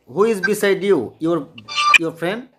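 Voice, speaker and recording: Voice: medium pitch, animated, unhurried, very noisy. A host with a Bangladeshi accent chatting casually in simple English, often repeating phrases and questions. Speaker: host practicing English with strangers.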